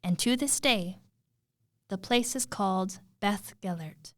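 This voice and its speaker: A woman retelling a fairy tale as a storyteller, in a dramatic, expressive way with suspenseful pauses. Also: mid-range voice, dry recording, studio quality.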